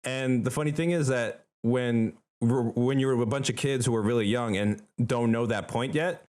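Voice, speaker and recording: A man's voice: medium pitch, fairly even intonation, normal speed, a little mellow. A young man from the Philippines chatting casually as a podcast co-host, in an informal, emphatic and slangy way. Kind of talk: casual conversational chat.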